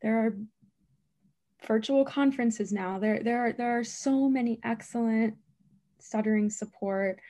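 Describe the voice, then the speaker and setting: A woman who stutters speaks in an unhurried, deliberate way, often repeating sounds and words. As a speech therapist and interview guest, she gives earnest, emphatic answers. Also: on the high side, mellow. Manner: stutters, with repetitions and blocks. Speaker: guest, speech-language pathologist.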